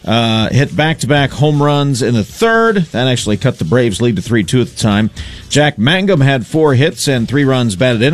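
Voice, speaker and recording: A man delivering a radio sports update and chatting, dry and wry with quick jokes. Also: medium pitch. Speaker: sports reporter and columnist.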